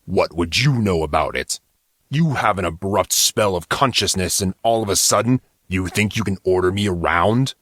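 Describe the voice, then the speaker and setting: A man voicing a wild, ferocious villain in an audiobook, with a cold, low, mocking and sadistic menace. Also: moderate pace, a bit bright, dry recording, clean studio sound. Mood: angry menace. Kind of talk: audiobook character acting.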